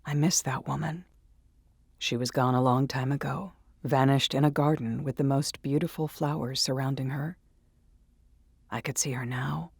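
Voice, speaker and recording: Woman reading creepy story, dreamy and whispery, bit of vocal fry. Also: a bass voice, steady tone, moderate pace, dry recording, clean studio sound. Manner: hushed, dreamy, slipping into whispers. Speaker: narrator. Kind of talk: scripted first-person horror narration. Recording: soft music behind.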